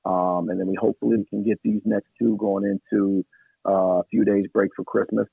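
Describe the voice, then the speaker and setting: A man, an assistant basketball coach, answering radio interview questions in a measured way with many fillers, his voice hoarse from yelling at practice. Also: lowish voice, level tone, no room sound. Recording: tinny phone line.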